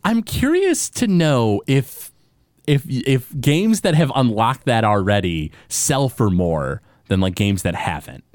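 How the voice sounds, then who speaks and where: Playful, animated male podcast co-host. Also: medium pitch, slow pace, no echo, clean studio sound. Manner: playful teasing, lots of fillers and restarts. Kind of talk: casual chat.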